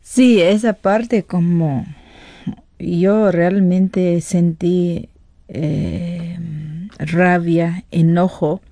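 A woman giving personal testimony in an interview, pausing between short phrases. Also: lowish voice, fairly even intonation, warm tone, no echo, studio quality. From Ecuadorian Amazon.

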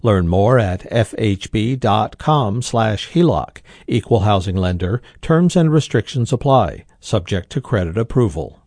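A male radio announcer with a slightly low voice, recorded very dry. He reads short scripted sponsor credits in a measured, formal manner. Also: expressive, a little mellow, dry recording.

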